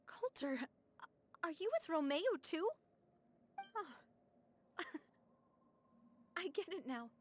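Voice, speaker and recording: An actress playing a determined young woman who leads her crew in a game cutscene, brisk and decisive. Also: very high voice, lively intonation, a little hiss or hum, heavily acted. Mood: wary. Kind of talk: dubbed game voice acting.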